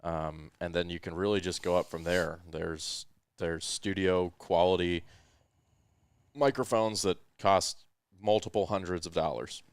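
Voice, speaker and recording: A man with a smooth voice hosting a podcast, giving relaxed, rambling tech explanations full of ums and uhs into a headset microphone. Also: deep voice, a little slow, no room sound, clean studio sound. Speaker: podcast host. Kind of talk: casual explanatory tech chat. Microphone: headset microphone with headphones.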